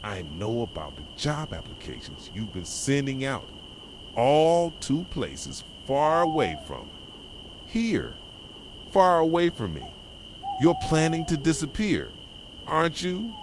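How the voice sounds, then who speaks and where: A man playing an obsessive, possessive boyfriend in an ASMR roleplay, speaking softly and intensely straight to his partner. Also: mid-range voice, wildly swooping pitch, takes it slowly, slight background noise. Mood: accusing, bitter. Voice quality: soft voice. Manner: intense, pleading yet controlling, addressing a partner directly. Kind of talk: ASMR roleplay, dramatic monologue. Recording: nighttime cricket and owl ambience behind. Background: crickets, insects and hooting owls.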